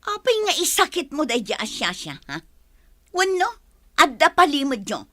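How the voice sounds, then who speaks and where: Embattled mother, bright voice. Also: high-pitched, big pitch swings, moderate pace. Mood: furious, accusing. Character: embattled wife and mother, quarrelsome yet forgiving. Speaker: radio drama actress. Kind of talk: Philippine radio drama acting.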